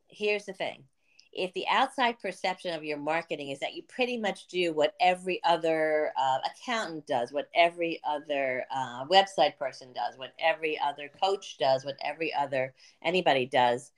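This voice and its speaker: A woman with a low voice hosts a business podcast in a casual, talky way. She explains marketing ideas earnestly, with plenty of ums and you-knows. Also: lively intonation, normal speed. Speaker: podcast host and business coach.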